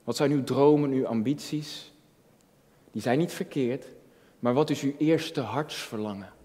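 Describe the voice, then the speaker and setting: A man's voice: medium pitch, unhurried, mellow. A man in his early thirties preaching a personal, reflective sermon, earnest, repeating phrases for emphasis and asking rhetorical questions. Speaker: junior pastor. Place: indoor church stage at a lectern, filmed from a distance. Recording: long hall reverb.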